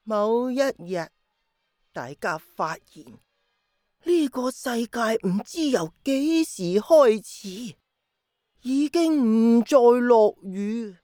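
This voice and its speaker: Slow, gentle old grandmother. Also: fairly high pitch, lively intonation, no room sound, clean studio sound. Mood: wistful. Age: older. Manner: slow, sighing, patient explaining. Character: gentle wistful old grandmother, teaching her young grandson. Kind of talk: Cantonese radio drama acting.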